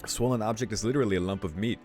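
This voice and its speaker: A man's voice: on the low side, very fast, darkish tone. A man hosting a let's play, reading visual novel narration aloud and adding casual commentary. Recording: music playing behind the voice. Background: game music.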